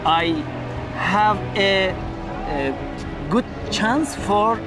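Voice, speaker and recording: A man from Iran with a Persian accent, speaking halting English with many pauses and fillers, outdoors. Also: high-pitched, music in the background, crowd noise. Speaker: interviewee, visitor. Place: outdoors on a city street.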